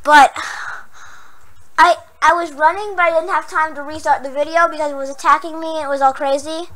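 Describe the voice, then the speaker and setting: A young woman playing a horror game, hoarse from losing her voice, jumpy and prone to yelps and squeals when startled, then chatty again. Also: high-pitched, fairly even intonation, a little fast, a bit bright. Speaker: gaming channel host. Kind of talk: Minecraft horror map let's play.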